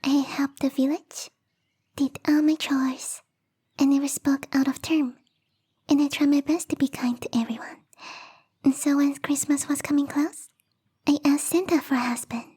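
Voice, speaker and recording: A woman voicing a bubbly, lovestruck young female snow spirit in a soft, breathy ASMR roleplay whisper, coy and rambling. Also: high-pitched.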